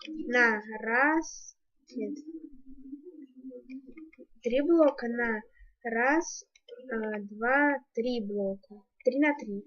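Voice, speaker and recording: A woman's voice: a little high, extremely slow, long pauses, a bit bright. A woman giving a casual game building tutorial, thinking aloud and counting her steps as she goes, on a muffled home microphone. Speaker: YouTuber, gaming channel host. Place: indoors at home, screen-recording voice-over.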